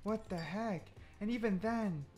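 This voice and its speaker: A man doing excited gameplay commentary, thinking aloud and often repeating himself. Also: high voice, measured pace, faint music behind. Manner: repetitive, exclamatory, thinking aloud. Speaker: gaming content creator testing game mechanics.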